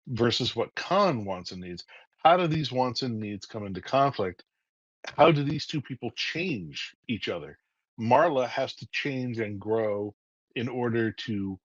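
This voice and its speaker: A man's voice: mid-range voice, dry recording. Male guest writer, articulate and methodical; dry, slightly muffled call audio. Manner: articulate, methodical, asks himself rhetorical questions. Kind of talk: podcast interview.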